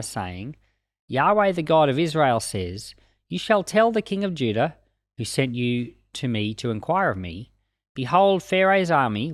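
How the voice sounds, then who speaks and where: A man's voice: mid-range voice, no room sound, studio quality. A man reading and explaining scripture as a Bible teacher, in a plain-spoken, explanatory way. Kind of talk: scripture reading and informal commentary.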